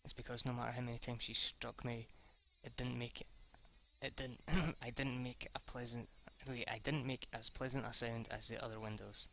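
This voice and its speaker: Very bright-voiced man reading a game aloud. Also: medium pitch, flat delivery, moderate pace, gravelly, slight background noise. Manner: stumbles over words and rereads lines; casually foul-mouthed when reacting. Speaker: let's player reading a visual novel aloud. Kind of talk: amateur read-aloud narration with off-the-cuff reactions.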